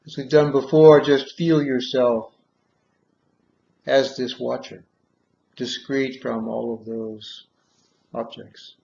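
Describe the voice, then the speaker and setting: A man guiding a meditation in measured short phrases with long pauses, on a dull, muffled recording. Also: mid-range voice, flat delivery, creaky, dark tone. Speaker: guided meditation leader. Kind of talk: guided meditation, slow soothing instruction.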